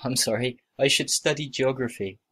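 A man acting out short scripted everyday dialogues for a listening test, with plain, expressive conversational reading. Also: mid-range voice, moderate pace, breathy edge.

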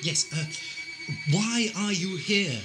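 A man's voice: high voice, animated, slow, over loud music. A British man acting in an audio drama as an eccentric, learned time-travelling Doctor, concerned and slightly wry. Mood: hesitant, urgent.

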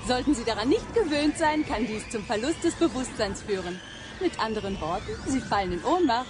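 An actress playing a brisk, officious elevator operator in a radio play, with announcement-like patter. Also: female voice, a little high, expressive, close to an everyday voice. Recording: music behind the voice.

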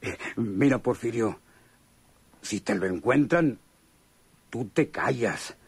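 A slow, husky, chummy Mexican convict. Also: male voice, medium pitch, animated, dry recording, exaggerated voice. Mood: sly, confiding. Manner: slangy, hesitant drawl with knowing chuckles. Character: chummy, sly male convict, a hardened killer. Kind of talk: radio drama acting.

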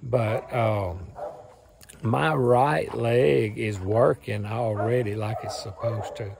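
A hoarse man in his thirties with a Southern US accent, rambling cheerfully in a folksy way to a phone camera while standing outdoors in the woods. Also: fairly low pitch, slow pace, background noise. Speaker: farm and hunting channel host. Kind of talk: casual vlog talk to camera. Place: outdoors in woods beside a truck. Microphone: phone camera at arm's length.

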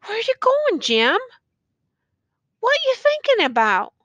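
A woman's voice: very high voice, wildly swooping pitch, a little slow, a bit bright, dry recording, muffled recording, voice pushed up, full character voice. A woman voicing an anxious young male soldier who coaxes and pleads in a quavering voice. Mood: pleading. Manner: coaxing, quavering.

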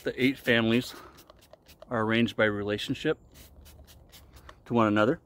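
A man in his forties giving a casual explanatory talk to camera as a vlogger, with deliberate, halting phrasing, recorded outdoors. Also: on the low side, fairly even intonation, fairly bright tone, smooth. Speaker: vlogger reviewing shaving products. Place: outdoors in a wooded park clearing. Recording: noisy outdoor ambience. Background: outdoor ambient noise.

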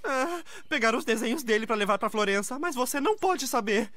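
An actor playing a small, nervous, blurting boy sidekick in an animated film dub, with a Brazilian accent. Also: mid-range voice, fairly quick, dry recording, lightly acted. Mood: flustered.

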